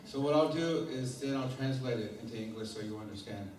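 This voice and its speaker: A man's voice: mid-range voice, brisk, darkish tone. Male storyteller addressing a hall audience, plain and friendly, with heavy room echo and a faint hiss. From Mescalero Apache. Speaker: performer and storyteller. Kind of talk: live talk to an audience. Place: lecture hall before an audience. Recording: echoey hall mic heard from far off.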